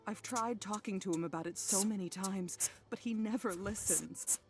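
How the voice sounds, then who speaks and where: A woman's voice: mid-range voice, normal speed, music in the background, natural voice. A woman voicing a worried middle-aged wife in a game, speaking wearily and apologetically. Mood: weary. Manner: weary, apologetic, soft-spoken. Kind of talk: game voice acting.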